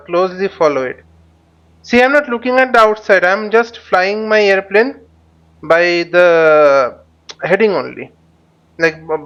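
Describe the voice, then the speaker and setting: Higher-pitched man, halting tutorial delivery, dull audio. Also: fairly bright tone, clean tone. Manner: halting, thinking aloud while demonstrating. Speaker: flight navigation instructor. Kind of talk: live flight simulator tutorial commentary. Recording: dull, lo-fi microphone sound.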